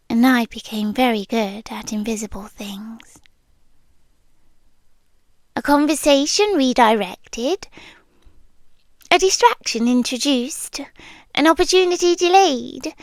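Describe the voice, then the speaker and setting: A British woman playing an ancient, possessive, obsessively devoted immortal lover in an intimate ASMR roleplay, speaking in a hushed, breathy, deliberate way that often slips into whispers. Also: high voice, no echo, full character voice. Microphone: close microphone, dry.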